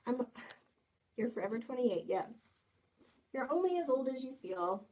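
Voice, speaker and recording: Twenties woman, livestream host, casual and jokey, slightly high voice. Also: big pitch swings, normal speed, mellow, rough recording. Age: 20s. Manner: playful, teasing, jokey asides. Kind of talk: casual livestream chat reading comments.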